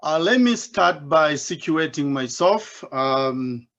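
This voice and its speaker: A middle-aged man from Uganda, giving a reflective talk with gentle self-mocking humor from his home basement. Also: fairly high pitch, animated, slow pace. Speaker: invited speaker, doctoral student. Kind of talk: personal reflective talk at an online symposium. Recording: dull, muffled video-call audio.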